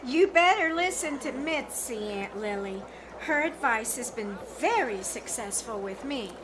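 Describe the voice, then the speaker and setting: A woman's voice: on the high side, expressive, measured pace. A woman playing a gushing, bossy teenage girl in a live 1940s-style radio comedy, with theatrical, emphatic delivery. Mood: excited, eager. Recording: live small-theater stage recording, background noise.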